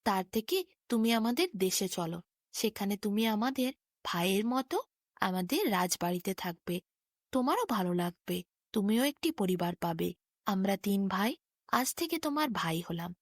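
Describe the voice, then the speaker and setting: A woman voicing a gentle, wise young prince who speaks in an earnest, measured way, as in a storybook. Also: mid-range voice, lively intonation, no room sound, clean studio sound. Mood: kind, inviting. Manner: earnest, measured moral counsel.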